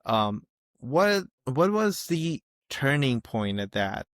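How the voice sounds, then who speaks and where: A man's voice: medium pitch, very animated, takes it slowly, a bit bright, dry recording. A man hosting a podcast interview, asking polite and encouraging questions. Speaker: podcast host.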